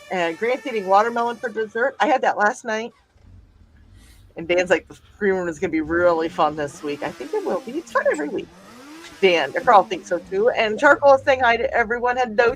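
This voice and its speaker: A woman's voice: medium pitch, lively intonation, moderate pace, slight background noise, low-quality recording. A woman co-hosting a live craft stream, chatting playfully and reading out chat comments. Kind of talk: casual chat.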